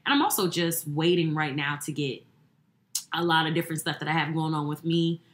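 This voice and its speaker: A woman's voice: sits low, fairly quick. A woman in her twenties talking casually and reflectively about herself as a podcast guest. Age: 20s. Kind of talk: casual, reflective personal talk. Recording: roomy, echoing indoor sound.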